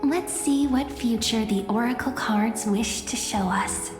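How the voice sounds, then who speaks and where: An actress playing a gentle, serene young oracle card reader in a video game, poetic and deliberate. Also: female voice, on the high side, lightly acted. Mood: serene, mystical. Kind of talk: scripted game voice acting. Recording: echoing hall reverb, faint music.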